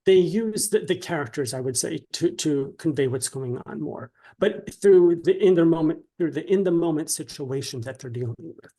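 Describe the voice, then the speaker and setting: Man, slightly high voice, interview guest, halting delivery, conversational explaining, frequent "right?" check-ins. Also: animated, warm tone.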